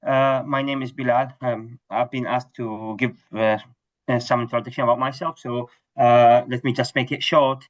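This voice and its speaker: A man's voice: mid-range voice, flat delivery, moderate pace, lo-fi sound. A middle-aged man from Iraq, giving a webinar lecture on research, hesitant with many fillers and restarts. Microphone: video call.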